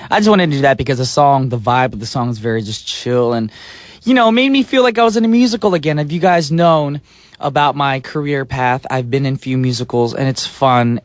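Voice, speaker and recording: A man's voice: on the high side, dry recording. A man hosting a radio music show, playful and chatty, sometimes breaking into sung bits and silly voices. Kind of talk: upbeat radio DJ patter. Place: radio studio. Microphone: studio microphone.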